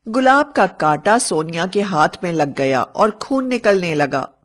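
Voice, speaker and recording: A woman's voice: on the low side, expressive, a little slow. A woman narrating a scripted story as a storyteller. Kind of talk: scripted fairy-tale narration.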